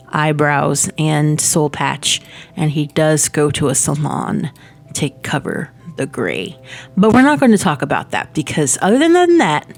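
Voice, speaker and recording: Low-voiced woman with a stuffy nose. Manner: rambling, many fillers, self-laughing asides. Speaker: Sims building channel host. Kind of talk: casual chatty gameplay commentary. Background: soft background music.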